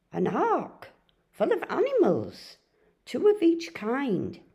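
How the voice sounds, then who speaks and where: A woman giving a dramatic, deliberate and expressive poetry reading. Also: deep voice, slightly rough. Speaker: poet and reader.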